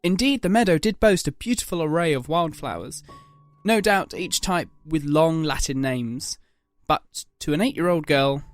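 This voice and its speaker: A man giving scripted dramatic narration of a story. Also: fairly high pitch.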